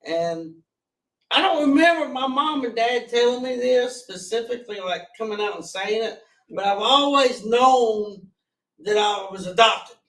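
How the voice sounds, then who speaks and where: Loud-voiced guy in his 40s from north carolina, kinda high, rambles his story, echoey and off mic. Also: animated, a little slow, far from the mic. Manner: earnest, rambling with restarts. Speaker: podcast guest. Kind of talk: telling his life story. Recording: roomy, off-mic sound.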